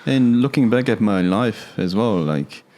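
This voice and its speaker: A man, a guest on a podcast, speaking softly in short replies. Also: fairly low pitch, lively intonation, moderate pace. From Korea. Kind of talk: casual interview chat.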